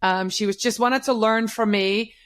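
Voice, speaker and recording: A woman's voice: on the high side, fairly even intonation, normal speed, fairly bright tone. A middle-aged woman whose first language is Dutch, giving career advice as a guest in an interview, stressing points by repeating them. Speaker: guest, social media business owner.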